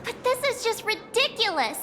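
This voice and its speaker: Very high-pitched, bubbly girl adventurer. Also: moderate pace, slight background noise, full character voice. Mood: exasperated. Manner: expressive, exclaiming. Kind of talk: anime-style video game voice acting.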